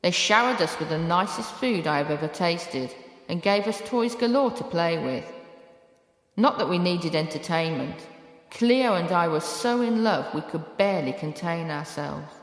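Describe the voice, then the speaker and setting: A woman's voice: lowish voice, expressive, moderate pace, faint music behind. A British woman voicing a loving, clever rescue dog that tells its own story in a bedtime storybook style. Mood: happy, contented. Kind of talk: first-person storybook narration. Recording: echoey room with long reverb.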